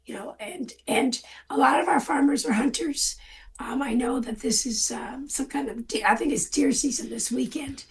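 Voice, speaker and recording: An older hoarse woman, a farm safety guest on a radio show, talking casually and rambling with a self-deprecating manner. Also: sits high, moderate pace.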